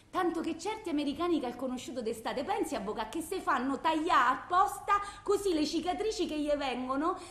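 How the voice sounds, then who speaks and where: A high-pitched, distraught wife with a thick Roman way of speaking , acted by a woman, pours out her case to her lawyer. She rambles, repeats herself and justifies everything in agitated, colloquial bursts. The radio monologue rings in an echoey room. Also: fairly bright tone, close to an everyday voice. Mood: brooding, rambling. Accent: Roman Italian. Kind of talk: theatrical radio monologue, framed as phone messages. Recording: roomy, echoing sound.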